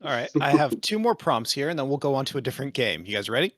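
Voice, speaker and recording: A man's voice: medium pitch, very animated, fast talker, fairly bright tone, no echo, clean studio sound. A man hosting improv games on a podcast, upbeat and chatty. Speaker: host running improv games. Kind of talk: casual chat.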